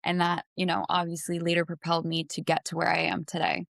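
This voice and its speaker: An American woman with a slightly low voice, talking as a podcast guest. She answers in a conversational way, and the sound is very dry. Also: completely flat delivery, fast, gravelly, fairly bright tone, dry recording. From United States.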